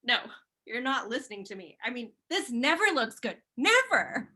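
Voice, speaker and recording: A woman plays a hairstylist in a comic screenplay read, blunt and casual but encouraging. Her voice is high and forceful, and very animated as she stresses her points. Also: normal speed, slightly rough, full character voice. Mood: amazed. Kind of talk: screenplay table read acting.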